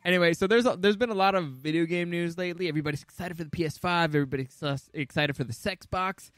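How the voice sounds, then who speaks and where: A man hosting a podcast in a casual, comedic style, excitable, jokey and free with swearing. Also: on the high side, fast talker, dry recording, studio quality. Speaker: podcast host.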